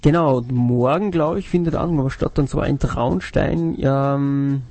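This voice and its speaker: A man's voice: medium pitch, unhurried, creaky, dark tone. A man from Upper Austria co-hosting a casual radio show and asking the guest questions. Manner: chatty, asks the guest questions. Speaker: radio co-host.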